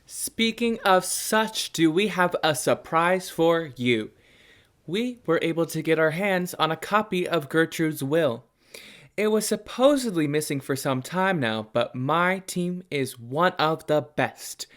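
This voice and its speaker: A young student playing a smooth, nosy talk-show host doctor in a radio play. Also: medium pitch, animated, unhurried, fairly bright tone. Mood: showy, steering. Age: teenager or young adult student.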